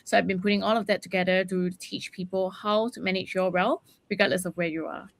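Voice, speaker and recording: A woman's voice: mid-range voice, steady tone, a little fast, vocal fry. A woman from Singapore, a personal finance educator, introducing herself in a composed, matter-of-fact way.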